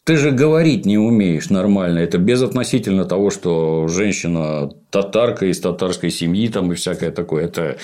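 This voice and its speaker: Man, middle-aged, lowish voice, blunt, scornful, casual chat. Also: animated, normal speed, few pauses, mellow. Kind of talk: casual podcast chat, critical review.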